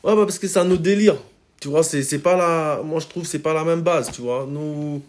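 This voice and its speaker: Man, from Congo, rapper guest, relaxed slangy talk, casual interview. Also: on the high side, normal speed. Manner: laid-back, slangy, keeps saying "tu vois".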